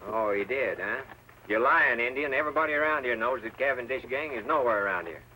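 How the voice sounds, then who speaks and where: Crooked western deputy, scornful, brusque threats, tense sharp voice, old radio drama, slight background hiss. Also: male voice, mid-range voice, lively intonation, fairly quick. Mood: scornful, dismissive. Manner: brusque, drawn to threats and sneers. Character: scornful, scheming crooked deputy in a frontier town. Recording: faintly noisy old radio recording.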